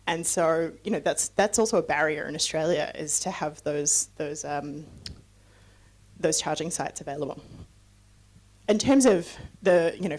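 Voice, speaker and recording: A middle-aged woman from New Zealand gives a loose, conversational talk on sustainability and takes audience questions. Her voice sits a little low, and she keeps it casual with fillers and side remarks. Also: low-quality recording. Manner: chatty and loose, with frequent fillers and asides. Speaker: guest speaker on sustainability.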